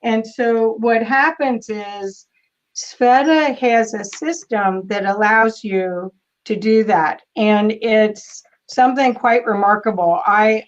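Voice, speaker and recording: A woman hosting over a video call, enthusiastic and halting as she introduces her guest. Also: a little high, highs cut off. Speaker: host. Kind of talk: casual video call chat.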